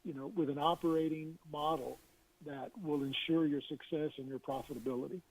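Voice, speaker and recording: Husky-voiced man pitching his services. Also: steady tone, fairly quick, a little mellow, low-quality recording. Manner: confident, salesy, hesitant fillers. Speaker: business consultant, guest. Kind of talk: interview answers.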